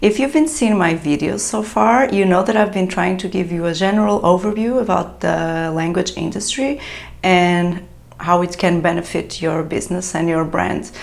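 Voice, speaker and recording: A woman's voice: on the low side, normal speed, gravelly. A woman whose native language is European Portuguese, a translator explaining tips in a casual vlog, with frequent fillers and restarts. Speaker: translator giving business advice. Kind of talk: solo talking-to-camera vlog.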